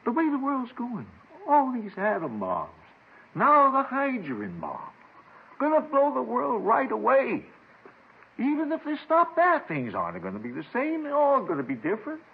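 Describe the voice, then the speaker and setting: A man's voice: very high-pitched, huge pitch swings, moderate pace, gravelly, breathy edge, full character voice. An actor in a 1950s radio drama playing an agitated, paranoid reclusive crank who rambles, stammers and repeats himself about his doomsday writings. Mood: fervent, prophetic. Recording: muffled vintage 1950s radio recording.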